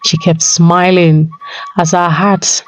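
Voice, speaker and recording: A woman's voice: fairly low pitch, fairly even intonation, takes it slowly, slight background noise, rough recording. A woman storyteller reading a scripted folktale narration. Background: music.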